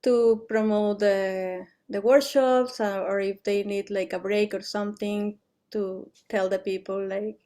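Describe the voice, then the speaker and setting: Halting woman explaining plans. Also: mid-range voice, level tone, dark tone. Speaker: event organizer. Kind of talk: informal online meeting talk.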